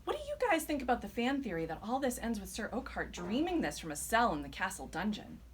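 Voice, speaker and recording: A woman's voice: medium pitch, huge pitch swings, fast talker, pitched above the performer's own voice. A woman voicing an eager audience member asking a fan panel questions in a novel. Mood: inquiring. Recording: live event with background noise.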